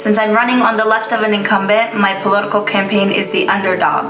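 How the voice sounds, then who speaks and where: A woman from Afghanistan narrating her campaign video in a steady, earnest delivery. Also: medium pitch, very fast. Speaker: political candidate. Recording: roomy sound with music behind.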